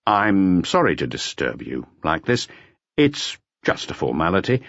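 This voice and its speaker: A man voicing a polite, calmly official male detective who probes with questions in an audiobook. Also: low-pitched, a little slow, dry recording, highs cut off, heavily acted. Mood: formal, probing.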